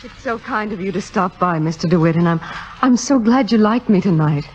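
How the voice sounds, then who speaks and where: An actress playing an ambitious young understudy with a demure, falsely modest manner in a 1950s radio drama. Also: female voice, medium pitch, fairly quick, slight background noise, natural voice. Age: young adult. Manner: demure, humble, careful. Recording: dull old radio recording with music.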